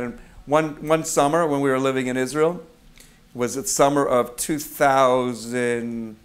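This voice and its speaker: Man, middle-aged rabbi, mid-pitched voice, rambling storytelling sermon. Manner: conversational storytelling with fillers and self-corrections. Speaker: rabbi of a congregation.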